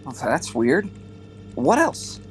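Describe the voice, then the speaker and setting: A man's voice: a little high, wildly swooping pitch, slow, heavily acted. Young guy acting a newbie alien trainee, curious n a bit snooty, hesitant questions, audio drama w/ faint music. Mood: curious, puzzled.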